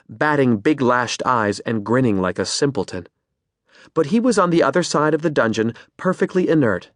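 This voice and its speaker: A man's voice: mid-range voice, no echo, clean studio sound. Man reading an audiobook aloud. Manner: measured, expressive storytelling. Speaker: audiobook narrator. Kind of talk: scripted narration. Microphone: close studio mic.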